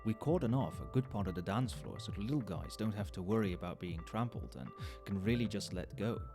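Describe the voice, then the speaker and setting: A man's voice: on the low side, lively intonation, brisk, lightly acted. A man playing a chatty, knowing nightclub barman in an ASMR roleplay, talking conversationally to the listener with wry understatement. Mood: amused, chatty. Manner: conversational, explanatory, with hesitations and understatement. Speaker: voice actor in an audio roleplay. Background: soft club music and nightclub ambience.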